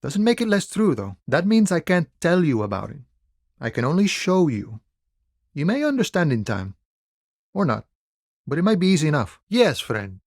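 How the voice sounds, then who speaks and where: Chill male dockworker on strike, video game character, wry n philosophical, kinda higher voice that bounces around, super dry sound. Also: big pitch swings, no room sound. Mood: musing, friendly. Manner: easygoing, wry, musing, unhurried folksy phrasing. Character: laid-back, philosophical male harbor worker and striker. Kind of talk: video game dialogue acting.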